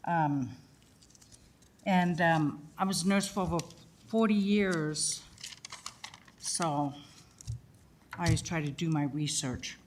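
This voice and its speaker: A woman's voice: low voice, slow pace, pauses often, a little hiss or hum. An older woman, a retired nurse, giving a plainspoken public comment at a county meeting.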